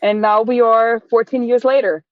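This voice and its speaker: A woman's voice: on the high side, steady tone, normal speed, no echo. Lady chatting away in an interview, keeps restarting, sounds like a phone line. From Austria. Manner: chatty, many restarts and fillers. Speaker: guest, chocolate business owner. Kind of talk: casual interview storytelling. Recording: dry tinny phone-like line.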